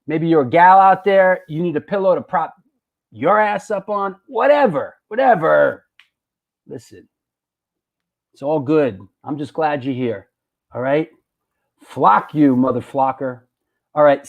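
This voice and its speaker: A high-pitched man hosts a live online show. He talks loosely and jokes around, stumbling over and repeating his words. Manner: loose, joking, repeats himself. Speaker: host.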